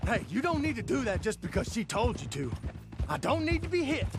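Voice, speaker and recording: Squirming high-voiced outlaw captive. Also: male voice, big pitch swings, a little fast, music in the background. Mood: whining, indignant. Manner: whining, pleading, aggrieved. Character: whiny, indignant male outlaw captive protesting his innocence. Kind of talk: video game voice acting.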